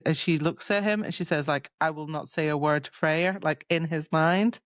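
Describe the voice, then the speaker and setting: Low-pitched woman, casual podcast chat. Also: moderate pace, no room sound, highs cut off. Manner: animated retelling, acting out characters' dialogue. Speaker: podcast co-host. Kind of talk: casual chat recapping a fantasy novel.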